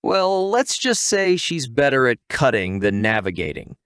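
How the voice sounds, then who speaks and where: Male narrator for comedic recaps: wry and playful, animated intonation, mid-range pitch, close dry recording. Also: unhurried, smooth, dry recording. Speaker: narrator of anime recap videos.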